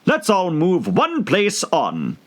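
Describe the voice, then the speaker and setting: A man's voice: high voice, wildly swooping pitch, slow pace, voice pushed up, full character voice. A man voicing a rude, bossy hatter for a storybook, brusque and mocking in manner. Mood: rude, impatient.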